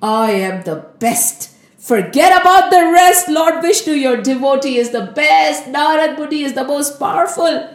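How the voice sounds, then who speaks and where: A woman's voice: on the high side, very animated, moderate pace. A woman voicing a boastful, gleeful male sage in a told story, exclaiming and repeating grand claims. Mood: triumphant. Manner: exclamatory, self-glorifying, repeating boasts emphatically. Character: boastful, gleeful male sage gloating over his victory. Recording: echoey room.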